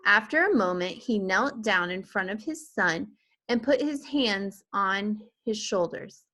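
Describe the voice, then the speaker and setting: A woman reading a children's story aloud with expressive narration. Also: medium pitch, measured pace. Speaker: teacher or reader reading a children's book aloud.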